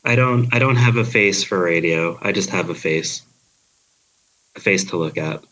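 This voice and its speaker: New York man, lowish voice, sleepy deadpan monotone, casual podcast co-host. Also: moderate pace, poor audio. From Queens, New York. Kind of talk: casual chat.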